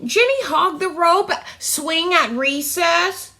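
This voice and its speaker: A woman voicing squabbling young piglet schoolchildren in a storybook, whiny, excitable and plaintive. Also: very high voice, slow pace, voice pushed up, full character voice. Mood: indignant, squabbling.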